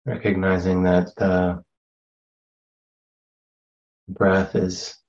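A man leading a guided meditation as a teacher, speaking gently and unhurriedly with long pauses between phrases. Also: deep voice, completely flat delivery, highs cut off.

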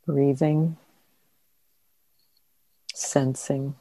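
Woman meditation teacher, really slow and mellow, gentle w lots of long pauses. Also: extremely low pitch, little pitch movement, no echo, rough recording. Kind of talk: guided meditation. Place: meditation center.

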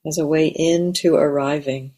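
A woman leading a guided meditation in a gentle, measured way, leaving long pauses. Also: low voice, steady tone. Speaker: meditation teacher. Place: meditation center.

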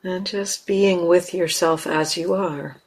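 A woman teaching guided meditation, speaking gently and unhurriedly in short phrases with long pauses. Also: fairly low pitch, steady tone, slightly rough. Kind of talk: guided meditation, unscripted instruction.

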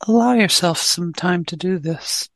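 Slow, low-pitched woman guiding meditation. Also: no room sound. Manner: gentle, long pauses between short phrases. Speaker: meditation teacher. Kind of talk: guided meditation.

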